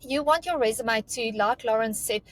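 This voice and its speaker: A woman from South Africa with a South African accent, a recruitment guest giving career advice on a webinar in a steady, instructive way. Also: a little high, normal speed, dry recording.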